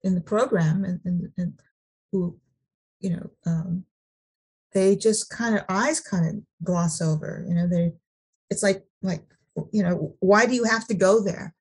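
A middle-aged woman from California sharing her personal story at a recovery meeting, halting, with many restarts and repeated words. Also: lowish voice, a little mellow, breathy edge, low-quality recording. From Sacramento, California.

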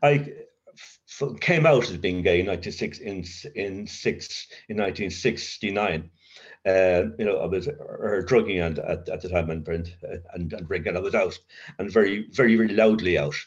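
An older man with a very low, deep voice speaks haltingly, catching on blocks and repeating words as his stutter surfaces. He is reflective, with a wry humour. The recording sounds slightly muffled and dull. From Ireland. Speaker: recovery storyteller. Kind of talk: personal testimony.

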